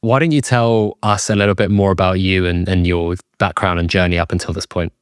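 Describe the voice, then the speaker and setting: Low-voiced male podcast host. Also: steady tone, fairly quick, clean tone, no room sound, clean studio sound. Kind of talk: podcast interview.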